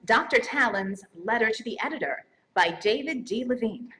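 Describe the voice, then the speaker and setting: A woman's voice: fairly high pitch, animated, moderate pace. A woman casually co-presenting awards live on stage over a noisy microphone.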